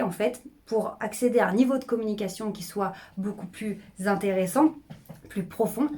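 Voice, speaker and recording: A middle-aged woman speaking as a public speaking coach, energetic and emphatic, often repeating phrases to drive a point home. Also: medium pitch. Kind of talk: coaching video monologue.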